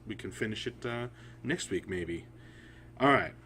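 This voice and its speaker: A man hosting a storytelling livestream, speaking haltingly with frequent pauses. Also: fairly low pitch, animated, bright, forceful tone. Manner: halting, pausing often. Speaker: writer, editor and stream host. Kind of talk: casual livestream chat and story reading. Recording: audible background hum and noise.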